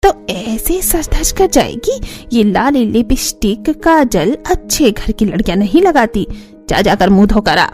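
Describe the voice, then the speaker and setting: A woman voicing a sharp-tongued, disapproving old grandmother who nags and taunts in a family cartoon. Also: fairly high pitch, very animated, measured pace, soft background music. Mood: scolding, taunting. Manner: taunting, nagging. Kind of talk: Hindi family cartoon voice acting.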